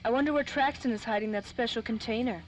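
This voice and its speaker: An actress playing a plucky, slangy nightclub dame in the style of old-time radio drama. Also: female voice, fairly high pitch, fast talker, lightly acted, poor audio. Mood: curious.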